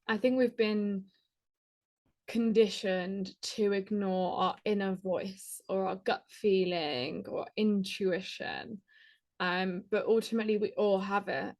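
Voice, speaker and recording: A woman guest on a podcast, talking in a chatty, casual way, with lots of "like" and quick laughs. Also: medium pitch, fairly even intonation, a little mellow. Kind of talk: casual conversational interview.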